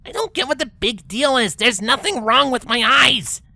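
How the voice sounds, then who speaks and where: A man's voice: very high-pitched, expressive, normal speed, creaky, sharp tone, no room sound, pitched above the performer's own voice, exaggerated voice. A man voicing a cocky, brash young female pegasus athlete in a fan fiction reading, boastful and slangy. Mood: defensive, boastful.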